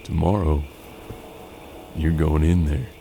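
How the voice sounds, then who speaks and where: A man's voice: rumbling low, little pitch movement, slow, vocal fry, background noise, full character voice. An American man playing a seasoned, unflappable bounty hunter in a western audio drama, with a folksy, laconic drawl. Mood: grim, decisive. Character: seasoned, unflappable Old West bounty hunter mentoring his apprentice.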